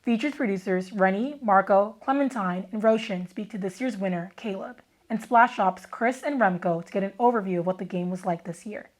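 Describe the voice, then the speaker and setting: A woman anchors a school news program. She reads her scripted links at a measured, even pace, in a voice of middling pitch for a woman.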